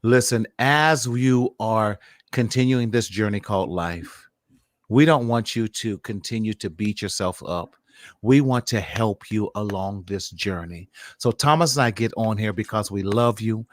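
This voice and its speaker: A man's voice: on the low side, a little slow, no room sound, clean studio sound. A man hosting a mental wellness show, talking to viewers in an affectionate, encouraging, motivational way. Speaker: podcast host. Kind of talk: warm motivational talk to online viewers.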